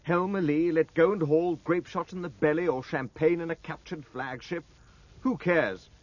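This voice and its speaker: An actor playing a sardonic, world-weary British naval lieutenant with a husky voice in a 1950s radio drama. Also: male voice, a little high, fairly even intonation, normal speed, close to an everyday voice. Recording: dull old radio recording.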